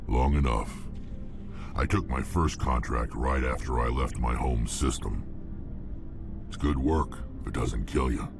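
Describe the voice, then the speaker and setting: An actor playing a hardened, blunt male alien mercenary warrior with a gruff, world-weary manner, in a video game. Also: extremely low pitch, measured pace, full character voice. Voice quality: gravelly. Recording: game audio with music behind.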